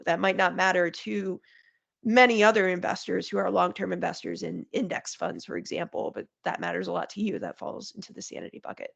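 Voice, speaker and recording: Woman, market strategist guest, nasal from a cold, heavy vocal fry, clear and conversational. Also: lowish voice, a bit bright, lo-fi sound. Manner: explains in clear plain terms, lists points. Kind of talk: conversational podcast interview.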